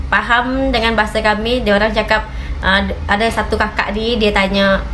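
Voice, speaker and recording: A woman's voice: mid-range voice, level tone, moderate pace, a bit bright, slight background noise. A woman from Malaysia with a Malaysian Malay accent, telling a travel story in a casual, chatty vlog, full of "okey" and "macam" fillers. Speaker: reaction channel vlogger.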